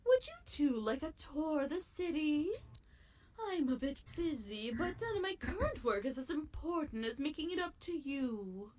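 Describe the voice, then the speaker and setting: A woman playing a chipper, theatrical and sweetly polite female ruler in an animated audio drama. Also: high voice, moderate pace, slight background noise. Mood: apologetic, eager. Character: chipper, gushing female ruler of chaos city and her clones.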